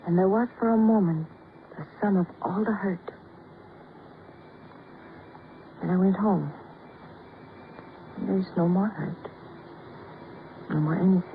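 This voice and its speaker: An actress playing a hurt, wistful, love-sick woman in old radio drama style, speaking in halting, poetic phrases. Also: on the low side, natural voice. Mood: bitter resignation. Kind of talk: 1940s-50s radio drama acting. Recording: tinny, hissy old radio broadcast.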